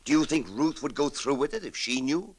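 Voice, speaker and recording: A man's voice: fairly high pitch, expressive, fairly quick. An actor playing an anxious, secretive middle-aged ex-convict father in a vintage radio drama. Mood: anxious, challenging. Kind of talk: 1940s-50s radio drama acting.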